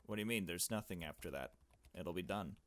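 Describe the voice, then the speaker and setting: A man's voice: deep voice, brisk, pitched below the performer's own voice, natural voice. A man voicing a blunt, secretive girl detective in a visual novel game, curt and dismissive. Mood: cold, blunt. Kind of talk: visual novel dialogue read aloud.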